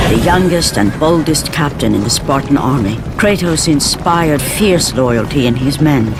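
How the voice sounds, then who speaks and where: An actor playing a grave, portentous storyteller of ancient Greek legend, narrating video game cutscenes in a measured, solemn way. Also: male voice, on the high side. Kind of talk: scripted dramatic game narration. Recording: narration over loud cinematic music.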